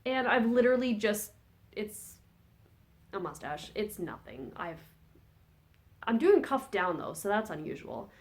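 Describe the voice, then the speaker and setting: A woman talks in a loose, rambling, chatty way, often stopping to correct herself. Her voice sits at an ordinary middle pitch and sounds a little phlegmy, as she is still getting over a cold. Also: big pitch swings, measured pace, long pauses. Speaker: podcast host. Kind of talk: casual chat about knitting projects.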